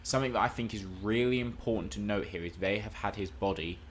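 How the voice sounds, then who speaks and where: Low voiced guy on a movie podcast, chatting casually but really arguing his points. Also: quick pace, slight background noise. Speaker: podcast co-host. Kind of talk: casual film and TV discussion.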